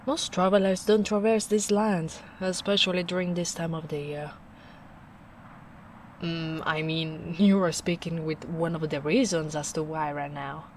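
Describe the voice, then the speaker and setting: A woman playing a gentle, caring big ogre in a soft-spoken ASMR roleplay, musing in an unhurried way. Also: deep voice, little pitch movement, slight background noise. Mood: concerned.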